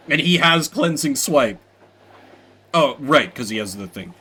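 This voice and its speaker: An excitable male streamer gives live commentary on a video game. His pitch leaps around a lot and his tone is bright and punchy. He talks in loose, sweary slang and reads bits of game text aloud. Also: takes it slowly, breathy edge.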